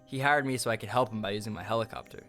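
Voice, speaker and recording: A man's voice: lowish voice, racing, sharp tone, soft background music. A man playing an aggrieved former henchman and pilot testifying in an audio drama. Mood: bitter, matter-of-fact.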